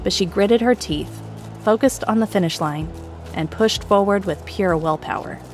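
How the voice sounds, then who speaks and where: A woman's voice: medium pitch, normal speed, darkish tone. A woman narrator reading a scripted, dramatic story with music behind her.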